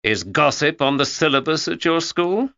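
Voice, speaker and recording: A man's voice: fairly high pitch, animated, moderate pace, creaky, sharp tone, lo-fi sound, voice pushed up, full character voice. A man voicing a weary, skeptical grown man who answers in a dry, exasperated way. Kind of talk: audiobook character voice.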